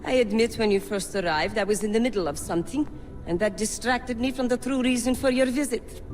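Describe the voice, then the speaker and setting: Woman acting a fanatical, grandiose high priestess over music. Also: a little fast, a little mellow, close to an everyday voice, low-quality recording. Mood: bitter. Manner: theatrical, pompous, reverent. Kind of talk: cinematic video game acting. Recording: dramatic music behind the voice.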